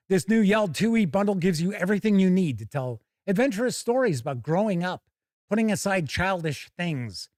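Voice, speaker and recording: A man's voice: fairly high pitch, no echo, clean studio sound. A man hosting a live gaming news show, chatty and wry, moving between casual asides and reading out news copy. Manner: animated, wry, conversational.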